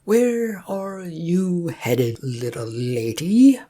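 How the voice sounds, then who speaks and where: A woman voicing a pompous, bossy troll leader in a fantasy story, with loud, commanding, drawn-out questioning. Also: on the low side, expressive, voice pushed up, exaggerated voice. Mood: interrogating.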